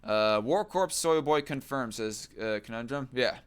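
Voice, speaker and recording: A man's voice: medium pitch, lively intonation, unhurried, smooth. A man hosting a livestream, reacting to a clip and reading chat in a crude, mocking, argumentative way. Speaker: livestream host.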